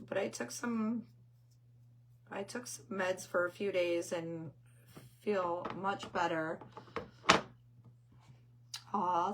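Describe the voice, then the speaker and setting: An older woman hosting a craft livestream, chatty and rambling, reading viewer comments aloud while painting; her voice is hoarse and squeaky from losing it. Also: pushed and bright, rough recording.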